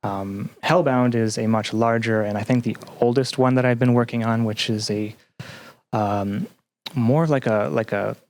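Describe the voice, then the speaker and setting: A man speaking as a podcast guest, explaining things casually with hesitant, thoughtful, halting phrasing. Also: medium pitch, steady tone, a little mellow. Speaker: podcast guest, game developer and researcher.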